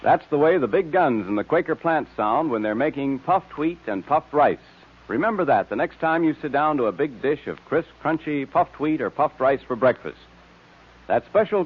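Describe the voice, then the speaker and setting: A man's voice: mid-range voice, husky. A man announcing in scripted 1940s radio style, with a polished sales and narration delivery. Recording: tinny, narrow-band old radio recording.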